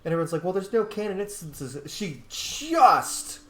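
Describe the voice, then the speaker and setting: A man giving casual gameplay commentary, blunt, opinionated and quick to swear. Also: high-pitched, sing-song. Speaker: gaming streamer. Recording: roomy echo, game music behind. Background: game music.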